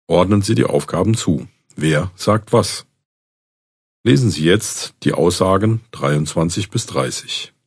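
A man with a gravelly voice reading exam instructions slowly and deliberately. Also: low-pitched, a little mellow, no room sound, studio quality. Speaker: exam announcer. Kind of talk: scripted instructions.

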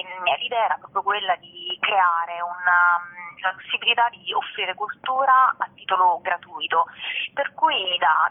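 A woman, speaking as an event organiser and giving information in an interview, with hesitant pauses. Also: lowish voice. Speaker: festival organiser and spokesperson. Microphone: telephone. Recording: muffled, tinny telephone line.

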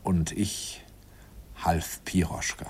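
A man's voice: deep voice, little pitch movement, takes it slowly, vocal fry, darkish tone, whispered, heavily acted. A man playing a nostalgic narrator recalling a youthful romance in a radio play, wistful, intimate and amused. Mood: wistful, tender.

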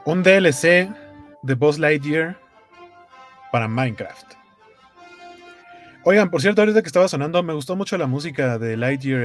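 A Mexican man with a mid-pitched voice talks in a casual, animated way, like someone telling stories to his viewers on a gaming news show. Music plays softly behind him as he chats. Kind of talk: casual chat, reading viewer comments.

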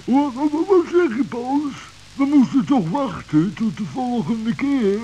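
A man's voice: extremely high pitch, animated, a little slow, warm tone. A man voicing a timid, bumbling badger who stammers and mixes up his words in an old children's radio play. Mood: drowsy muddled. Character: timid, bumbling male badger, easily frightened. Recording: dull hissy old radio recording.

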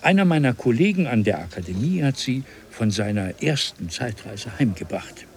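An actor playing an elderly, courteous scholar from an ancient civilization in a German radio play, speaking in formal, archaic, ornate phrases. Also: male voice, mid-range voice, big pitch swings, normal speed, darkish tone. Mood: stately, courteous. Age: older. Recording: 1970s radio play with audible noise.

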